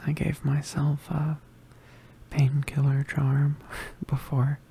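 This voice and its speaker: A man playing a badly injured, weary young male witch in an ASMR roleplay, murmuring haltingly in a weak, pained voice. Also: on the high side, completely flat delivery, gravelly, warm tone, slight background noise. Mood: weary, explaining. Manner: halting, hesitant, murmured, understated. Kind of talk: ASMR roleplay, soft intimate whispering.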